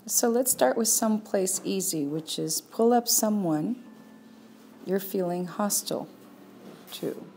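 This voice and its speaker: A woman leading a guided meditation as a teacher, speaking calmly and without hurry, leaving long pauses. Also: mid-range voice, creaky, a little hiss or hum. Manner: calm, unhurried, with long pauses.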